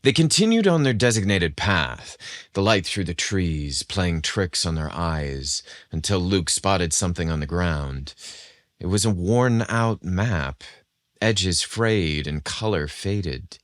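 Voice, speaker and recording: A man's voice: deep voice, lively intonation, takes it slowly, no room sound. A man narrating a scripted story with dramatic expression.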